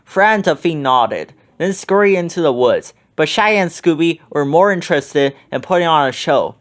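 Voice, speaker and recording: Animated man reading a kids' storybook. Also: fairly high pitch, normal speed. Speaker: children's book reader. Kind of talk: storybook read-aloud narration.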